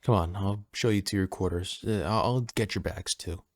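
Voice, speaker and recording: A man playing a soft-spoken, low-voiced manor assistant. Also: moderate pace, dry recording, natural voice. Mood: welcoming, easygoing. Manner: soft-spoken, casual, hesitant, chatty. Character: friendly, easygoing male personal assistant at a manor. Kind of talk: soft-spoken audio roleplay addressed to the listener.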